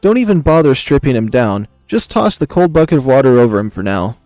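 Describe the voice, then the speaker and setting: A man's voice: mid-range voice, sing-song, fairly quick, a little mellow, no room sound, soft background music. A man voicing a commanding, impatient beast emperor in a visual novel, brusque and dryly amused as he questions his men.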